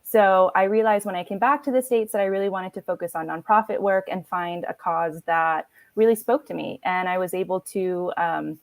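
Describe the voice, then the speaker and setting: A woman's voice: lowish voice, normal speed, few pauses, no echo. Friendly woman in her 30s telling her backstory, lots of ums, dry close sound. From Philippines, raised in Florida. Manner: friendly, upbeat, frequent ums. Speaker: nonprofit program director. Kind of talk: casual video-call interview.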